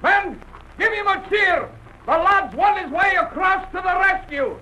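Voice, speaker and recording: An actor playing a hearty ship's hand in a 1940s radio adventure. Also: male voice, extremely high pitch, expressive, unhurried, pushed and bright, exaggerated voice. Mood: rousing. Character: gruff, hearty seaman, crew hand to the captain. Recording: old lo-fi radio drama recording.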